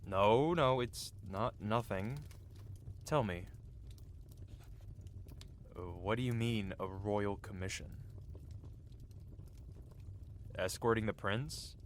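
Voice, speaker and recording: A man's voice: lowish voice, slow, long pauses, a little hiss or hum. A man playing a cocky, flirty yet tender-hearted medieval blacksmith in an intimate, theatrical ASMR fantasy roleplay. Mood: worried, subdued. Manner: teasing, theatrical, with soft hesitations. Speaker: audio roleplay performer. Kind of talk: ASMR roleplay acting, intimate one-sided dialogue.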